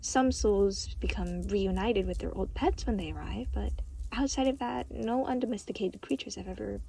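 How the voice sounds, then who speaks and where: A woman voicing a gentle, caring and slightly awkward deity in an audio roleplay, soft-spoken and hesitant, with a breathy voice. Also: medium pitch, moderate pace, a little hiss or hum, lightly acted, poor audio. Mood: warm, musing. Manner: soft-spoken, hesitant, polite, motherly endearments. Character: gentle, awkward, caring deity who comforts souls in limbo. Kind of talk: audio roleplay addressed to the listener.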